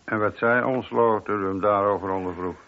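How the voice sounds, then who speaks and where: An actor playing an attentive, deferential police sergeant in a radio play, talking in a conversational way. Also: male voice, on the low side, steady tone, fairly quick, lightly acted. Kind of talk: Dutch radio play acting. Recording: dull, muffled old radio recording.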